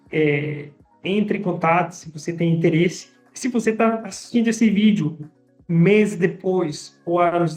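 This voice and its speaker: A language teacher talking casually to viewers, often tagging sentences with a quick question and restarting phrases. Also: mid-range voice. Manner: conversational, frequent tag questions and restarts. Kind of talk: casual teaching monologue to camera.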